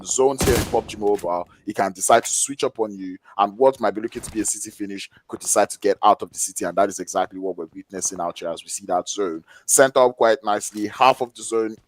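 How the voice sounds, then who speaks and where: Guy breaking down a mobile shooter tournament, animated, faint hiss behind. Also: fairly low pitch. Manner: animated, builds excitement on big plays. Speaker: esports analyst. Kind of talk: live game analysis.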